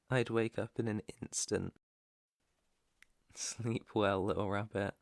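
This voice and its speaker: A British man playing a protective, gentle, clueless alpha werewolf in an intimate ASMR roleplay, speaking softly and reassuringly close to the mic. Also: medium pitch, vocal fry, dry recording. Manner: soft, tender, unhurried, reassuring. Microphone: close microphone, dry.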